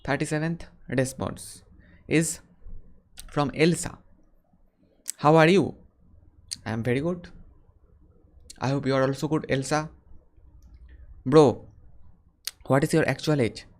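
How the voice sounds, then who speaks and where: A man in his early twenties from West Bengal with a Bengali Indian accent, answering viewer questions casually and deliberately, often repeating his phrases. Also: on the high side. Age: early 20s. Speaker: YouTuber hosting a Q&A live stream.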